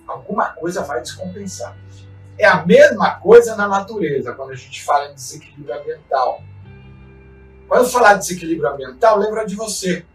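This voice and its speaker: Man, distant roomy sound. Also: on the high side. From Chile. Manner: earnest, repeats short tag questions. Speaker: mountaineer and expedition guide. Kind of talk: reflective motivational talk.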